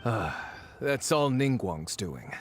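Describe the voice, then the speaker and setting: A man's voice: on the low side, sing-song, takes it slowly. An actor playing a wary male agent in a video game, guarded and formal with a sardonic edge. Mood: wry, guarded. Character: wary, wry male agent of a foreign faction. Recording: faint background noise.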